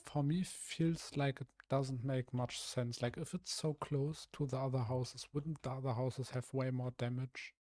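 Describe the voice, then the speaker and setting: A young man from Germany, a streamer with a rough, slightly hoarse voice, thinking aloud hesitantly with many restarts while he builds in a game. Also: medium pitch, steady tone, unhurried, hardly any pauses, a little mellow, dry recording. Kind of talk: casual live-stream commentary while building.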